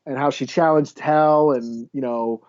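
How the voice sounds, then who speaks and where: A man co-hosting a podcast, chatting through a recap in a halting, offhand, mildly profane way. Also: mid-range voice, steady tone, darkish tone. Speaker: podcast co-host reviewing comic books. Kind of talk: casual chat recap. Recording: dull, lo-fi audio.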